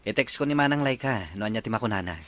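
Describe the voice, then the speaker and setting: A man's voice: fairly low pitch, expressive, quick pace, a bit bright. A man playing a dutiful young Ilocano husband and father in a family audio drama. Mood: casual.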